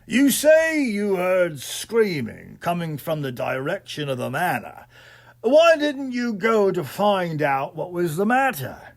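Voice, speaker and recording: A man's voice: high voice, very animated, takes it slowly, full character voice. A man voicing a stern coroner pressing a witness at an inquest in a story, formal and reproving. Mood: formal, questioning.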